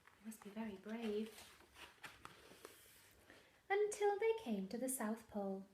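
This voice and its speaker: A woman reading a picture book aloud to young children like a primary teacher, in an expressive, deliberate way with hushed asides. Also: fairly high pitch, echoey room, slight background noise.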